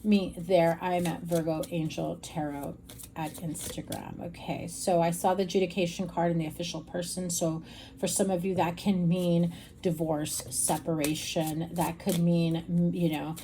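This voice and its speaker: A woman reads tarot cards in a frank, chatty way, talking straight to her viewers. Her voice sits low and mellow, with a slight rasp. Faint hiss runs under the recording. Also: level tone, moderate pace. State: slightly raspy voice. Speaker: tarot reader. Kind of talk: casual tarot reading to camera.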